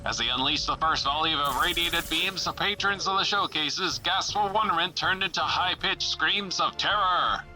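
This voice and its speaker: Male serial narrator, theatrical announcer style, very bright and forceful, fairly high for a man, over music. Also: normal speed, few pauses, rough recording. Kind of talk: dramatic scripted narration in old-time adventure serial manner.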